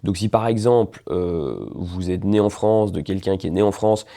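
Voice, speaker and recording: A man with a deep, mellow voice and a gravelly edge talks through a legal topic for a video. He explains in a loose, conversational way, pausing on fillers and often checking the listener is following. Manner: conversational, many hesitations, checks in with "d'accord". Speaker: lawyer explaining nationality law.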